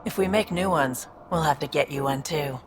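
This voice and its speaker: A woman playing a tough, streetwise alien smuggler with a soft spot in a video game, frank and wry, with a gravelly voice. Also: moderate pace, noisy background, lightly acted. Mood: wry, reflective. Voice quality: low, gravelly.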